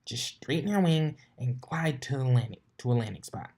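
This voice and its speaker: A cocky young pegasus mare, acted out by a man, grumbles and exclaims in a raised pitch. She is a brash storybook character. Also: lively intonation, normal speed, a little mellow, breathy edge. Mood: alarmed.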